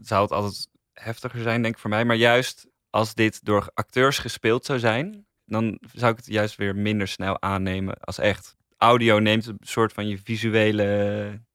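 A man's voice: fairly low pitch, fairly even intonation, a little slow, a bit bright, smooth, dry recording, studio quality. A man sharing thoughtful, slightly tentative opinions in a casual podcast conversation. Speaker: podcast co-host and reviewer. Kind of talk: casual review discussion.

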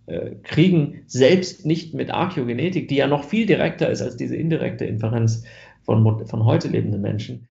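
A man's voice: medium pitch, creaky, darkish tone, reverberant, dull audio. A German man answering interview questions about genetics research, thoughtful, with many fillers and restarts.

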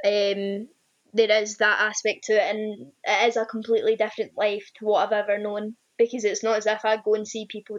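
A young woman with a strong Ayrshire Scottish accent speaks in an even, flat tone. She answers with hesitations and restarts, in the manner of a student teacher talking through her experience. The sound is dull and lo-fi, like audio from a call. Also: medium pitch. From Scotland. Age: young adult. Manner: hesitant, many ums and restarts. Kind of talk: casual interview answers.

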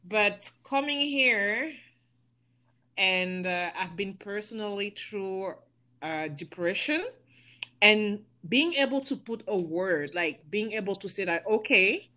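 A woman's voice: medium pitch, animated, slow, bright, forceful tone. Woman from africa, guest on a chat, talks straight and personal. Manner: candid, personal, plain-spoken. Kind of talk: casual panel chat.

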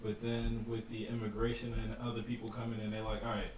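A man's voice: lowish voice, completely flat delivery, fast talker. A man hosting a podcast in a laid-back casual chat, agreeing often. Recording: echoey room, distant mic, audible noise.

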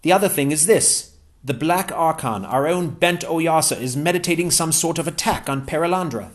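A man voicing an eager, cheerful scholar with a warm, lively, enthusiastic manner. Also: on the high side, moderate pace, pitched above the performer's own voice, close to an everyday voice. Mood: earnest. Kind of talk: audiobook character voice.

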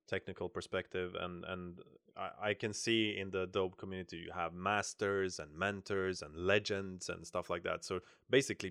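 A man hosting a casual podcast, relaxed and thinking aloud with many fillers. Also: sits low, vocal fry, clean tone, dry recording, clean studio sound. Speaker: podcast host.

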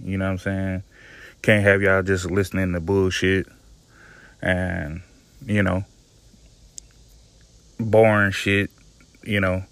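A man's voice: low voice, little pitch movement, takes it slowly, gravelly, long pauses, fairly bright tone, smooth, no room sound. A man hosting his own podcast in a casual, laid-back way, speaking with a soothing voice, swearing freely and often saying "you know what I'm saying". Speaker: podcast host. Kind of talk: casual solo podcast commentary.

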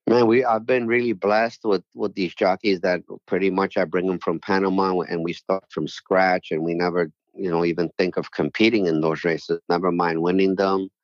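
A man's voice: low-pitched, steady tone, normal speed, hardly any pauses, no room sound, muffled recording. A middle-aged man from New York City, a jockey agent speaking as a guest in a casual interview, friendly and rambling with lots of "you know".

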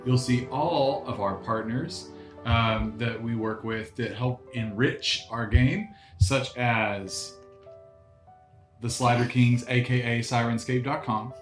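A man's voice: mid-range voice, steady tone, slow, roomy sound, soft background music. A man co-hosting a tabletop game podcast, joking with friends and reading partner announcements. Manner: jokey, reads sponsor plugs. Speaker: player and co-host. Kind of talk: casual chat.

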